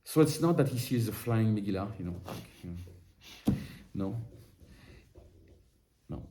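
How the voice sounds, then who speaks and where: Man teaching a halting, animated lesson. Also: lowish voice, a little hiss or hum. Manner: halting, restarts and repeats words while explaining; grows more animated when working through a text. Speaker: Torah teacher. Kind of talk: informal study-group lesson.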